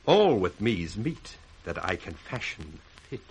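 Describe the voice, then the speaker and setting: An actor with a British accent playing a sly, ambitious bastard son in a theatrical, sardonic 1960s radio Shakespeare style. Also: male voice, sits low, very animated, slow pace, heavily acted. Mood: coolly scheming. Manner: theatrical, sardonic, crisply declaimed. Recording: faintly hissy 1960s radio recording.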